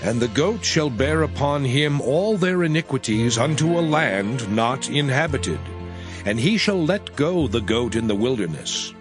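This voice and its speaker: A man narrating scripture as a measured, expressive reading in archaic English. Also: medium pitch. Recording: music bed under the voice. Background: music.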